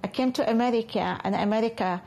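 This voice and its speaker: A middle-aged woman from Palestine, raised in Iraq, who speaks in halting, repetitive English. She tells her own story in an interview. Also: on the high side, gravelly. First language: Arabic. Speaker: interviewee, mother. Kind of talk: emotional personal testimony. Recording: noisy background ambience.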